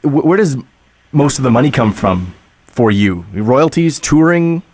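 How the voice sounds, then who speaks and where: A man's voice: mid-range voice, very animated, measured pace, darkish tone. Radio host guy, mid voice, easygoing questions, bit of background hiss.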